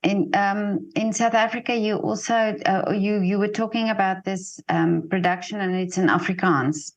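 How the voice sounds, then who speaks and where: A woman host asking interview questions, hesitant and friendly. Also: on the low side.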